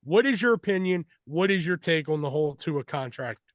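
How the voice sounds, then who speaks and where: A man's voice: on the high side, expressive, brisk, dry recording, clean studio sound. A man hosting a sports podcast, introducing guests and putting questions to them. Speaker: podcast host.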